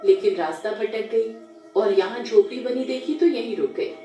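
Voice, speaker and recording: A woman's voice: a little high, normal speed, mellow, lightly acted. A woman voicing a polite, sweetly coaxing young mystery woman in a horror story. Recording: echoey, distant, music underneath.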